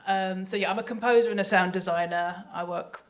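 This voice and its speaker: A woman's voice: mid-range voice, fairly even intonation, fairly quick, reverberant. A woman speaking casually and self-deprecatingly on a live panel as a sound designer. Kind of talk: casual self-introduction to an audience.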